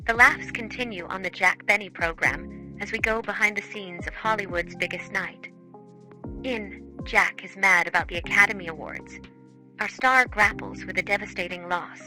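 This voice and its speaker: A woman narrates a scripted promo in a very bright, forward voice at a medium pitch. Light music plays beneath her polished, dramatic teaser delivery. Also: moderate pace, low-quality recording. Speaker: presenter introducing old radio shows.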